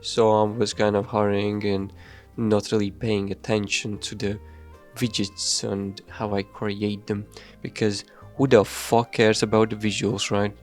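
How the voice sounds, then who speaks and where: Offhand, swearing young game dev. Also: male voice, on the low side, little pitch movement, faint music behind. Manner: loose and offhand, frequent swearing and fillers; self-deprecating, hurried. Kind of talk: casual voice-over devlog narration.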